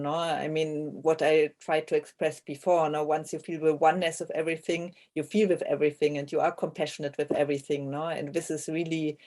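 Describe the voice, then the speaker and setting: Low, mellow woman with questioning tags. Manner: often tags phrases with a questioning "no?". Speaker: author being interviewed. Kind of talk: reflective conversational interview.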